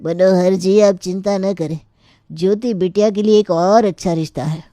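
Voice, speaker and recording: A woman voicing a polite male matchmaking priest in a storybook tale, measured and reassuring. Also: medium pitch, steady tone, warm tone, no echo, clean studio sound, natural voice.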